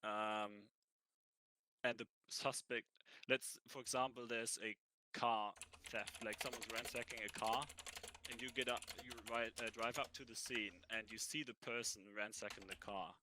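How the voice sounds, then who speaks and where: A man with a cold answering interview questions in a roleplay, halting and thinking aloud as he goes. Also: medium pitch, steady tone, noisy background. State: has a cold. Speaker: ranger job applicant.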